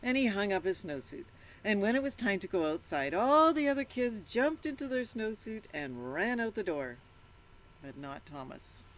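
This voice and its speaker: A woman reading a picture book aloud to children in an animated storytelling style, pausing to put questions to the listeners. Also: mid-range voice, low-quality recording. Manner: interactive, prompts listeners with questions. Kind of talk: animated read-aloud with asides to young listeners.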